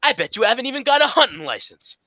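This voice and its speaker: A woman's voice: on the high side, very animated, fast, pushed and bright, no room sound, studio quality, heavily acted. A woman playing a blustery, exasperated male straight man in an old-time radio comedy, scolding and repeating phrases emphatically.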